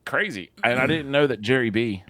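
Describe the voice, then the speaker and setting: A man co-hosting a casual movie podcast, excitable and joking, often cutting in mid-sentence. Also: medium pitch, brisk, no room sound. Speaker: podcast co-host.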